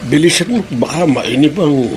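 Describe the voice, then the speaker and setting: A man's voice: fairly high pitch, animated, normal speed, darkish tone, slight background noise, natural voice. A Filipino man playing a folksy, grumbling elderly father who mutters and interjects in a radio drama. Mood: disapproving, grumbling.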